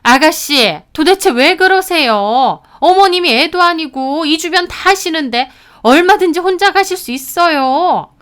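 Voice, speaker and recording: An indignant sister-in-law in a story drama , performed by a woman narrator. She sounds high and affronted, protesting defensively while keeping polite speech forms. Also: lively intonation, unhurried, few pauses, a bit bright, heavily acted.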